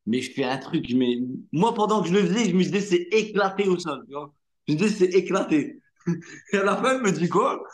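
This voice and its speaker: A man whose first language is Arabic, an actor telling stories in a relaxed interview, chatty, slangy and full of fillers. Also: sits high, brisk. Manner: animated anecdote-telling with street slang and many fillers. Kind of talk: casual interview storytelling.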